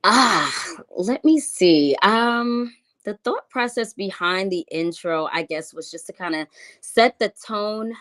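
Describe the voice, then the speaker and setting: A woman's voice: mid-range voice, expressive, slow, a bit bright. A woman from New York City, a music artist, chatting casually in an interview and rambling through her answers with many fillers.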